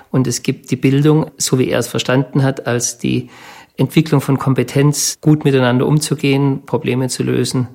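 Gravelly man reflecting in an interview. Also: mid-range voice, darkish tone. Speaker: interviewee, descendant of a company founder.

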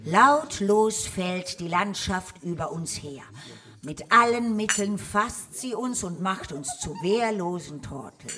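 A woman's voice: mid-range voice, expressive, takes it slowly, pushed and bright. An actress playing an overwrought female amateur poet, reciting solemnly and theatrically in a satirical radio drama. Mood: doom-laden. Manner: declamatory, theatrical.

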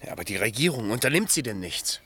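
A man's voice: mid-range voice, big pitch swings, fast talker, bright, forceful tone, a little hiss or hum. An actor playing an earnest, troubled man with a wry, sceptical streak, questioning others in a German radio play. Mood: incredulous. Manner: earnest, questioning, dryly ironic.

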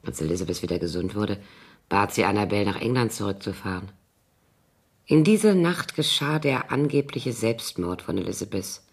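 An actress playing a tipsy, scheming older former nanny in a radio crime drama, brooding and halting in a theatrical way. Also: female voice, very deep voice, animated, exaggerated voice. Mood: brooding, conspiratorial. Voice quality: husky, creaky. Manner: brooding, halting, theatrical delivery dropping into whispers.

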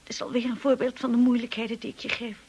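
An actress playing a shy, insecure young wife in a radio drama, earnest and hesitant. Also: female voice, on the high side, flat delivery, fast, a little mellow. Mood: hurt, self-pitying. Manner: hesitant, breathy, apologetic.

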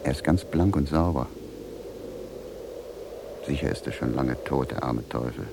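An actor playing a weary, freezing wanderer who muses theatrically to himself in a radio drama. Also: male voice, extremely low pitch, measured pace, gravelly, heavily acted. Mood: musing, mocking. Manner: theatrical musing, talking to himself. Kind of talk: German radio drama acting, soliloquy. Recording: noisy 1970s radio drama recording.

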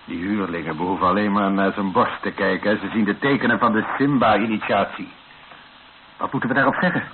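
A man's voice: lowish voice, fairly even intonation, fairly quick, phone-quality sound, natural voice. An actor playing an uneasy, questioning man in a war radio drama. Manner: doubtful, questioning. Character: uneasy, questioning male companion in war story. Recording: noisy band-limited old radio recording.

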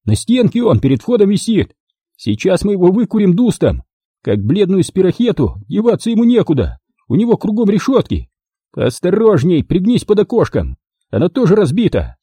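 Man acting a rowdy bellowing tough guy, pitched high. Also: wildly swooping pitch, a little fast, dark tone, husky, no echo, studio quality, heavily acted. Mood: urgent bellowing. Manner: bellowing, cursing, mocking. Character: gleeful, foul-mouthed brawling tough man.

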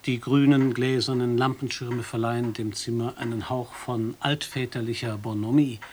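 An actor playing a radio correspondent in a radio play, narrating his report in a dry, measured, observant way and questioning a host. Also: mid-range voice, fairly even intonation, slight background noise, natural voice. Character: male foreign radio correspondent, wry observer.

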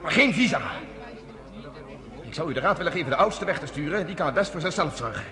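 A man's voice: fairly high pitch, lively intonation, long reverb tail, a crowd in the background, lightly acted. An actor playing a blunt, harried man who organizes escapes for refugees in a radio play. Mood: curt. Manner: rapid, clipped, businesslike. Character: brisk male rescue organizer, harried and blunt. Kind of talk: radio drama acting.

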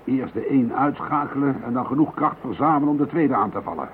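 A man playing a determined police investigator in a radio drama, calm, dry and persuasive. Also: mid-range voice, fast talker, natural voice. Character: determined middle-aged male police investigator. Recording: tinny narrow-band radio recording with faint hiss.

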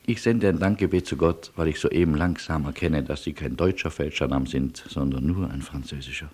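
A weary male refugee in a radio play. His voice is extremely low, and he speaks with courteous, wry resignation and deliberate irony. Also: a little mellow, husky.

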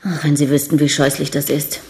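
An actress playing a brash, world-weary young bride-to-be who is coquettish, blunt and provocative, in a radio crime drama. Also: female voice, deep voice, little pitch movement, fairly quick, a little mellow, a little hiss or hum. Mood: bitter, weary. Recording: studio radio drama with music underneath.

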